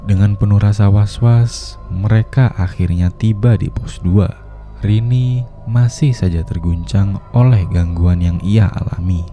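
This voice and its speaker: Seorang pria membacakan narasi cerita horor animasi dengan tenang dan serius. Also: male voice, lowish voice, measured pace, dark tone, faint music behind. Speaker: narrator. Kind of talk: scripted storytelling narration.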